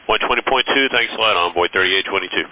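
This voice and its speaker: A man's voice: low-pitched, quick pace, narrow, tinny audio, a crowd in the background. A man speaking as an airline pilot, giving casual readbacks over a radio. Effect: radio transmission. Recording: thin radio with faint noise.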